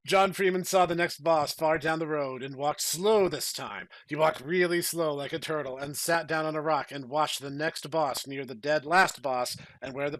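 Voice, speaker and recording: A man's voice: on the high side, a bit bright, no echo, rough recording. A man co-hosting a comedy podcast, moving between dramatic story reading and casual banter. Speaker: podcast co-host.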